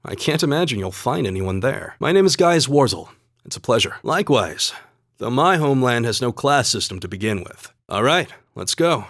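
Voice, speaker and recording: A man voicing a calm, courteous young spear fighter from the plains in a video game, speaking in an earnest, measured, polite way. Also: mid-range voice, no echo, studio quality. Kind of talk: scripted game dialogue and battle barks.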